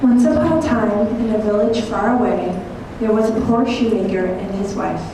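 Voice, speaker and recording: A woman's voice: medium pitch, normal speed. A woman reading a script aloud to an audience as a concert emcee. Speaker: concert emcee and story reader. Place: large hall at a school concert. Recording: echoey hall, distant mic, noisy.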